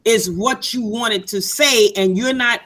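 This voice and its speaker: A woman's voice: a little high, measured pace, a bit bright. A woman taking part in a webinar, speaking casually about personal experience. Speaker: webinar participant sharing experience. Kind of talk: casual discussion.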